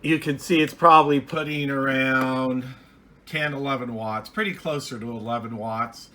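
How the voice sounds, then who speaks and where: A man giving a casual, unscripted hands-on gear review as a hobbyist, halting and restarting his phrases as he goes. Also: mid-range voice, fairly even intonation, pushed and bright.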